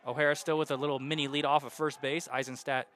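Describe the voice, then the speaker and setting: A young male radio announcer calls a baseball game in a bright, fairly high voice, ticking off counts and runners in a steady flow. Faint outdoor ballpark noise sits behind him. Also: fast. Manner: steady count-and-situation narration, rising loud on hits. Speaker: play-by-play announcer.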